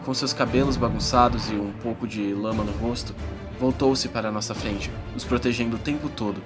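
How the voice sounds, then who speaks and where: A man's voice: mid-range voice, fairly even intonation, a little fast, natural voice. A man playing an earnest young adventurer, narrating his perils in a dramatic, suspenseful first-person audiobook style. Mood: tense, awed. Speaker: narrator and protagonist. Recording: loud music bed under voice. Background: music.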